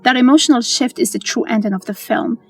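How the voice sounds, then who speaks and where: Higher-pitched woman narrating over music. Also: fast. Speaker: narrator of a film explainer. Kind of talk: scripted narration.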